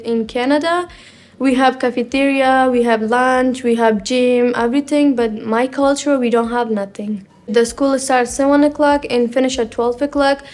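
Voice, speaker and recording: Teenage girl in halting English. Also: on the high side, darkish tone. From Afghanistan. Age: teens. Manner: halting learner English, simple phrases, frequent pauses. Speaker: student. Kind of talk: personal testimony.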